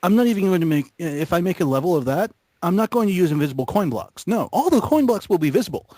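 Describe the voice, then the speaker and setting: A man with a slightly high voice, recorded dry. He rambles casually among friends in a halting, self-deprecating way, stringing thoughts together. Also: sing-song, dark tone, dry recording. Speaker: gaming group member. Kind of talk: casual rambling group chat.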